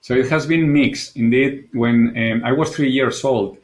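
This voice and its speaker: A man's voice: medium pitch, a little slow, darkish tone. A middle-aged Spanish man with a Spanish accent, speaking as a guest in a casual podcast interview, earnest and thoughtful, sometimes repeating words as he searches for them. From Spain. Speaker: guest, architect and designer.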